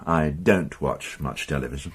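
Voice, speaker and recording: An actor playing a weary, wry police inspector in a radio crime drama, measured and questioning. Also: male voice, rumbling low, breathy edge. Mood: measured, probing. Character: weary, wry male police inspector, probing but considerate.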